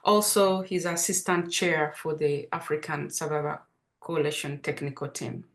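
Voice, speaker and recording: A woman's voice: low voice, steady tone, normal speed. A woman with a Ugandan Dutch accent moderating an online panel over a video call, speaking hesitantly with many fillers and restarts. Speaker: webinar moderator.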